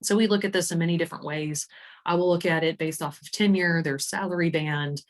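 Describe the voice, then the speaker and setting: A woman presenting a software demo in an informal, conversational way, explaining things with enthusiasm. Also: lowish voice, steady tone, a little fast. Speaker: HR executive demonstrating software.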